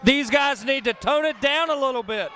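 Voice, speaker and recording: A man doing excitable wrestling commentary, yelling over the crowd and going hoarse as he loses his voice. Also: very high-pitched, moderate pace, slight background noise. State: losing his voice.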